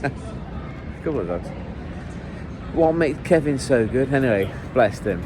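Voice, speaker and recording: A man vlogging as he walks city streets, chatty and jokey with rambling asides, a bit hoarse and run down, talking into a handheld camera. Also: mid-range voice, expressive, unhurried. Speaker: football travel vlogger. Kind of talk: walking travel vlog chat. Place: outdoors on city streets, walking. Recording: street traffic noise behind.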